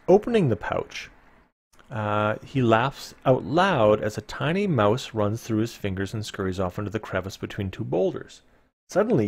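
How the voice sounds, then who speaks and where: Guy live reading a fantasy gamebook, medium pitch, playful, chatty asides. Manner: playful, informal, dramatic when reading. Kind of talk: live storytelling read-aloud with casual asides.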